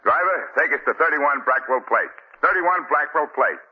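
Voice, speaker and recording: Animated, chatty down-and-out man. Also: fairly high pitch, moderate pace, husky. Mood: chatty, curious. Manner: rambling, folksy, ungrammatical talk. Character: chatty, naive down-and-out man, turning frantic. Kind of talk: 1940s radio acting. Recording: dull, noisy 1940 radio recording.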